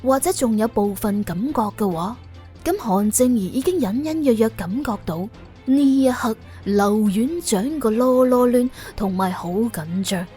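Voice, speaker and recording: A woman's voice: a little high, lively intonation, unhurried, dark tone, soft background music. A woman with a Cantonese accent reading a scripted suspense audiobook as its narrator.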